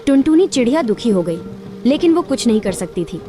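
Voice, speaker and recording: A woman narrating a children's cartoon story in a steady, even voice. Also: a little high, brisk. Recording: narration over loud background music.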